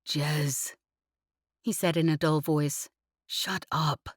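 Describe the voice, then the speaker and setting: A woman narrator voicing a weary, guilt-ridden young man who speaks flatly and bursts into anger, in an audiobook reading. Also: low voice, slow pace, slightly rough, no echo, clean studio sound, heavily acted. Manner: flat and dull, bursting into anger.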